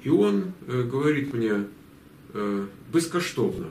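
Host: middle-aged man, teasing and chatty, animated intonation, roomy recording with faint noise. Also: mid-range voice, measured pace, creaky. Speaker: interviewer and channel host. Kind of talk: casual chat, anecdotes. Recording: echoey room, distant mic, faint noise.

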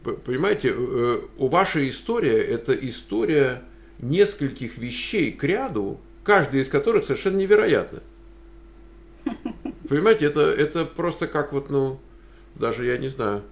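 A man's voice: medium pitch, animated, moderate pace. A man interviewing a guest over a video call, asking questions hesitantly with many filler sounds and restarts. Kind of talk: casual interview.

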